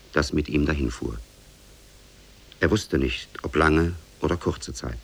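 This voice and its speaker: Deep, husky male storyteller. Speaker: narrator. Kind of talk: scripted fairy-tale narration, 1950s German radio.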